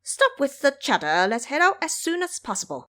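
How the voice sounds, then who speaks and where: A woman's voice: high-pitched, wildly swooping pitch, sharp tone, pitched above the performer's own voice, full character voice. A woman as a cheeky, bossy monkey disciple. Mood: scolding. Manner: brisk, teasing, commanding.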